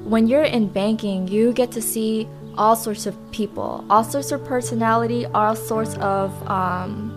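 A young woman speaking as a podcast guest, reflective and unhurried, pausing often with fillers as she thinks. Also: fairly high pitch, steady tone, music in the background. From United States. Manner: reflective, with many pauses and fillers. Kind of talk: casual interview.

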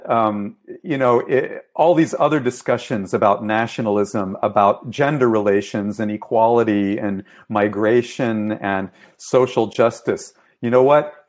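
Male professor guest, middle-aged, low voice, measured and deliberate delivery. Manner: measured, deliberate with some stammering. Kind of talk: interview answers.

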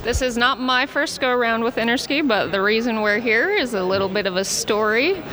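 A young woman from Utah, a ski instructor, answering interview questions earnestly with many pauses. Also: fairly high pitch, expressive, background noise. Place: bar and restaurant at a ski lodge.

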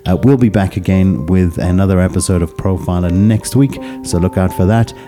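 A man hosting a podcast interview, talking haltingly and searching for words, then closing the show. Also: sits low, over music. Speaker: podcast host.

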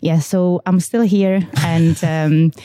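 A woman's voice: on the low side, fairly even intonation, takes it slowly, warm tone, no echo. A woman in her thirties with a Czech accent, a podcast guest who talks upbeat but hesitant, with many fillers. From Czech Republic. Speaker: guest, quality systems manager. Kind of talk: podcast interview.

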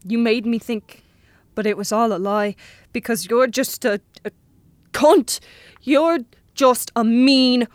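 A woman's voice: fairly high pitch, takes it slowly, no echo. A woman playing a lonely, manipulative teenage boy, insistent and pushy, in naturalistic audio drama. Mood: hurt, threatening.

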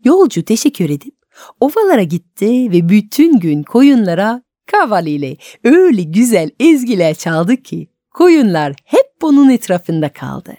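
A woman storyteller narrating a folk tale expressively for adult radio listeners, with dramatic, deliberate phrasing and pauses. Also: mid-range voice, very animated, dark tone, no room sound, clean studio sound.

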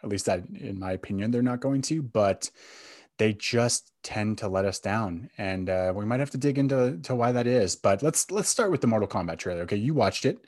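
Low-voiced man hosting a podcast. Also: animated, brisk, a little mellow, dry recording, clean studio sound. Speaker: podcast host. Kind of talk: casual film and game podcast chat.

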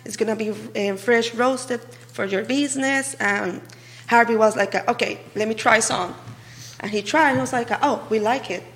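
A woman's voice: a little high, normal speed, a bit bright, rough recording. A woman with a Costa Rican Spanish accent, chatting casually as an interview guest and acting out remarks as she tells stories. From Costa Rica. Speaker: guest, coffee business owner.

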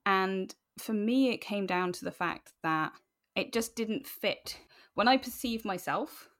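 A woman's voice: mid-range voice, expressive, a little slow, a bit bright. A woman speaking candidly and informally as an interview guest, animatedly telling personal stories. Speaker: podcast guest.

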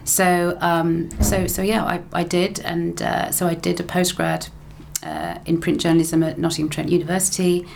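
A woman's voice: on the low side, flat delivery, normal speed, creaky, few pauses, darkish tone, a little hiss or hum. A middle-aged woman, casually telling her story in an interview, chatty and laughing easily. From England. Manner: chatty, laughs often, repeats phrases. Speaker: interview guest, broadcaster and lecturer.

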